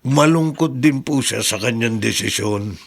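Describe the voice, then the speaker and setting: A man's voice: medium pitch, a little slow, creaky. A man playing a loyal, deferential driver in a Filipino radio drama, respectful and hesitant, with a Filipino accent. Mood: sympathetic. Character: loyal, deferential driver consoling his boss.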